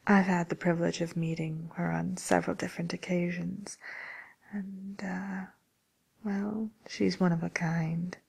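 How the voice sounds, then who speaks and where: A woman playing a polite, tactful matchmaker for villains in an ASMR roleplay, with a soft, whispery voice and hesitant, courteous service patter. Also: lowish voice, level tone, a little slow, vocal fry, breathy edge, heavily acted, low-quality recording. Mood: tactful, confiding.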